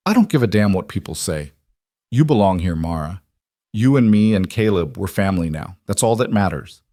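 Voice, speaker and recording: A stubborn, gruff rancher, as the narrator plays him with a low voice and a clipped delivery. The words come out short and rough, and the sound stays dry and close. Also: male voice, lively intonation, moderate pace, mellow, no echo. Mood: earnest. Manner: terse, rough with emotion.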